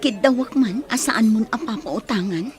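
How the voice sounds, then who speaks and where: A woman's voice: a little high, lively intonation, normal speed, a faint crowd, natural voice. An actress in a Filipino radio drama playing a sincere young working woman. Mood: puzzled, embarrassed. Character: sincere young working woman, embarrassed about her boyfriend.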